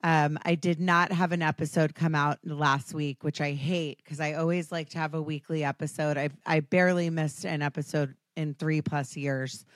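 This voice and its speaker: Hoarse low-voiced American woman host. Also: fairly even intonation, moderate pace, few pauses, dry recording, studio quality. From Washington, D.C. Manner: chatty, self-deprecating, frequent ums. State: hoarse, raspy voice. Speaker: podcast host. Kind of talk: casual chat.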